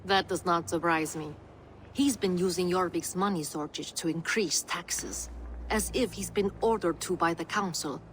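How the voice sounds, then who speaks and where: A low, dry-voiced Norse woman played by an actress, capable and sardonic. She speaks formally and measuredly in game dialogue, with some background noise. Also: normal speed, lightly acted, low-quality recording. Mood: resolute. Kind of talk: dramatic video game dialogue.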